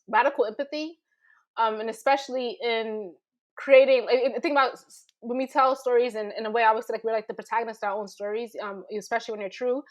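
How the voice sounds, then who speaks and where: Slightly high-voiced woman, emphatic teacher. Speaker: panelist, writing teacher. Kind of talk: informal panel discussion talk.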